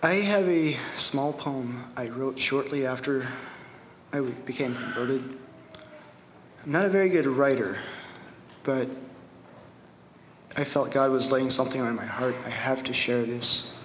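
A young man from Ohio giving an earnest, halting testimony at a Bible school and reading a short poem he wrote. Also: mid-range voice, fairly bright tone, slight background noise. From Ohio, USA. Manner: earnest, halting, reads his poem slowly. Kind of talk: spoken testimony and recited poem. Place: church hall. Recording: echoey hall with long reverb.

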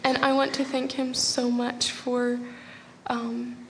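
Slow, monotone young woman with a gravelly edge. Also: on the high side, slight background noise. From Pennsylvania, USA and Tanzania. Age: young adult. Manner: hesitant, many filler pauses. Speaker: Bible school attendee giving testimony. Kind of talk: spoken testimony. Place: church hall. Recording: echoey hall with long reverb.

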